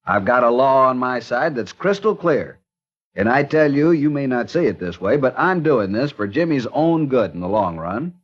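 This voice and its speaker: A man's voice: mid-range voice, big pitch swings, moderate pace. Stubborn small-town lawman. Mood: stern. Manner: stubborn, stern, self-righteous. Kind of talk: 1940s radio acting. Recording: muffled old radio recording.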